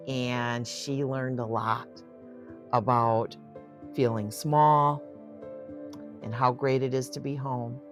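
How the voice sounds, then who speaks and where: An older woman reading picture books aloud as host, with effusive, exclaiming asides spoken straight to young listeners. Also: extremely low pitch. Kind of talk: storybook read-aloud with chatty asides.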